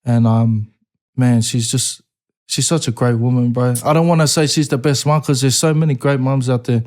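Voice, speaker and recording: A man from American Samoa, a guest rapper in a casual interview, laid-back, swearing a lot and breaking into laughing excitement. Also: medium pitch, moderate pace.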